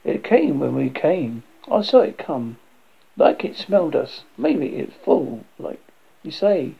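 A man playing a frightened, bookish young man in a horror story, halting and earnest. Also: a little high, big pitch swings, vocal fry, husky, heavily acted. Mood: anxious reasoning. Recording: dull lo-fi recording.

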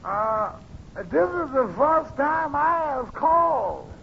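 Very high-voiced, dim, meek fellow. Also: male voice, big pitch swings, takes it slowly, vocal fry, full character voice. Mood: hesitant. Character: slow, dim, meek male handyman posing as buyer. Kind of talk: 1940s-50s radio comedy acting. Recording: very noisy, muffled old radio recording.

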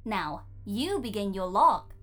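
A woman playing a cheeky, breezy, self-assured AI therapist in an audio drama, with a playful, teasing, brisk manner. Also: medium pitch, sing-song, sharp tone, soft background music, heavily acted. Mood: breezy, encouraging.

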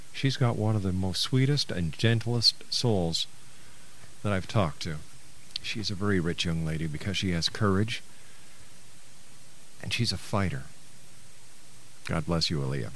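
A man hosting a radio talk show in a chatty, courteous, slightly hesitant conversational way. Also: medium pitch. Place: radio studio.

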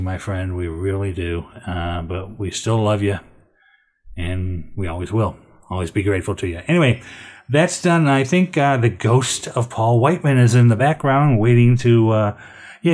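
A man's voice: fairly low pitch, normal speed, a little mellow. A man hosting a radio show in a casual, conversational sign-off style with a folksy, hesitant manner.

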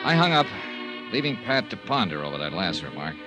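An actor playing a confident, wisecracking insurance investigator in a 1950s American radio drama, brisk and wry. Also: male voice, low voice, sing-song. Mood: businesslike. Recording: 1950s radio broadcast with music.

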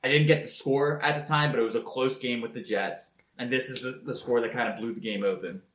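A man telling football highlights in a casual podcast chat, energetic and loud-voiced. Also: fairly quick, reverberant. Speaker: podcast co-host. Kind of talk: casual sports recap.

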